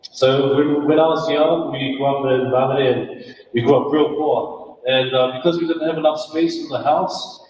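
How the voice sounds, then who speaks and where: A man's voice: mid-range voice, fairly even intonation, moderate pace, darkish tone, lo-fi sound. A man gives a casual, chatty talk as a guest speaker, joking about himself. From New Zealand. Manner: chatty, laughing, self-mocking. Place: event hall. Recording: distant, echoey hall with some noise.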